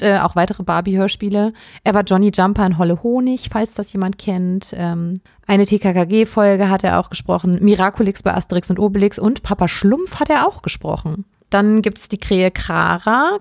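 A woman's voice: mid-range voice, normal speed, creaky, a little mellow, no room sound, clean studio sound. A woman co-hosting a podcast, chatting and explaining as she recaps stories in a casual way. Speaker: podcast co-host. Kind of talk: casual chat, recapping an audio drama.

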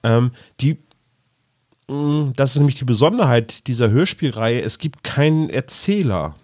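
A man's voice: mid-range voice, expressive, a little slow, darkish tone, no echo, studio quality. A man co-hosting a podcast, talking casually as a fan in an informal conversation. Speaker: podcast co-host. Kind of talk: casual fan chat about audio dramas.

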